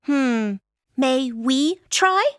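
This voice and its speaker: A woman's voice: high-pitched, expressive, extremely slow, clear, resonant tone, no room sound, clean studio sound, heavily acted. An actress playing a naive, curious young woman in a comedy audio drama, speaking earnestly in formal phrases.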